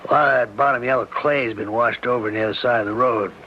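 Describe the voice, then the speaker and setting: An actor playing a folksy, plain-spoken small-town sheriff who quietly probes, in a radio mystery drama. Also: male voice, mid-range voice, steady tone, close to an everyday voice. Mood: casually probing. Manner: plain-spoken, rural phrasing, deliberate. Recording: dull lo-fi radio recording with hiss.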